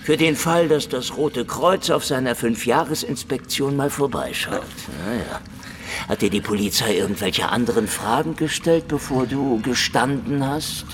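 A man's voice: medium pitch, moderate pace, close to an everyday voice. A man playing a sardonic, world-weary Soviet secret policeman in a hardboiled radio crime drama, wisecracking sarcastically. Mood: wry, probing. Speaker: actor playing the lead investigator and narrator. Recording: long hall reverb, music behind.

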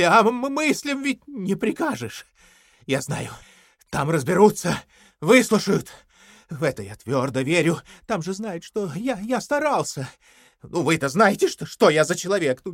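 A naive, anxious party faithful in an audio drama. He stammers and confides eagerly, the pitch leaping about in pleading bursts. The sound is very dry. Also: big pitch swings, unhurried, no room sound, full character voice. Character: Parsons, simple, eager, frightened party loyalist.

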